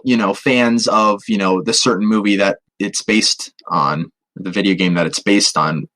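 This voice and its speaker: A man's voice: on the low side, fairly bright tone, poor audio. A man co-hosting a podcast, chatting casually about his opinions in a hesitant way that often repeats phrases. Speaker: podcast co-host. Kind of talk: casual movie review chat.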